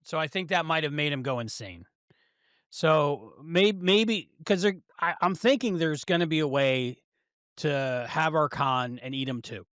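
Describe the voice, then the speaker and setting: A man co-hosting a podcast, casually working through his ideas in an animated way with frequent restarts. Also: a little high, a little slow, dry recording, studio quality. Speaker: podcast co-host. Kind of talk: casual review chat.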